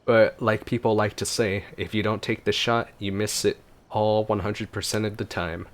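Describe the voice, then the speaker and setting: A man's voice: on the low side, little pitch movement, normal speed. A man giving casual gameplay commentary as a streamer, laid-back with wry asides. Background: game music.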